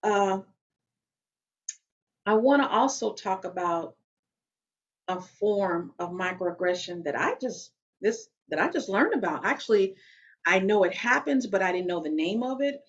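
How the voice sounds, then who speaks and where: A woman in her forties with a deep, loud voice, talking straight to the camera in an emphatic, conversational way and often checking in with "okay?". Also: expressive, a little slow, pauses often, lo-fi sound. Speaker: vlogger. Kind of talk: direct-to-camera talk. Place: small room at home.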